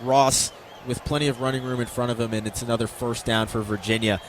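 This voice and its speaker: A man's voice: medium pitch, steady tone, fairly quick, a little hiss or hum. An American man giving conversational, explanatory color commentary on a live football radio broadcast.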